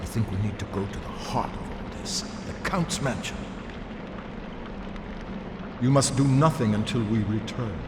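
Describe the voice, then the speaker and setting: An actor playing a wry, fussy gentleman adventurer in a video game cutscene, with theatrical, polite phrasing. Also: mid-range voice, a little slow, pauses often, lightly acted. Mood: firm, reassuring. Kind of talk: dramatic and comic video game cutscene acting. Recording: clear background noise.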